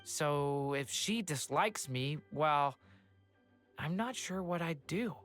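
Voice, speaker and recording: Game role, soft-hearted boy dragon: low-leaning, slow, hesitant delivery with lively swings. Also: faint music behind. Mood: uncertain, sad. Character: gentle, earnest young boy dragon in human form. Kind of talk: video game voice acting.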